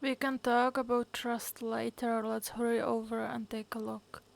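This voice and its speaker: A woman's voice: a little high, level tone, a little slow, gravelly. A woman streaming a video game, reading along and reacting.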